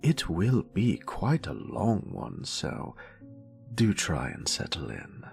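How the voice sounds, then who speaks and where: A man's voice: low-pitched, huge pitch swings, slow pace, creaky, darkish tone, soft background music, heavily acted. A man voicing a grumpy, sassy British wizard, a haughty former professor with theatrical, dryly sarcastic delivery in an audio roleplay. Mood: condescending, inviting. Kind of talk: scripted character monologue, audio roleplay.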